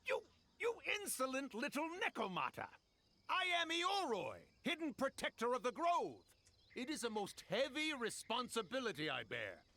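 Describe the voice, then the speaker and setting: A man's voice: very high voice, huge pitch swings, moderate pace, sharp tone, heavily acted. A man playing a proud, grumpy old tanuki spirit who guards a forest, pompous and theatrical, in an anime video game. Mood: indignant. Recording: faint music behind the voice.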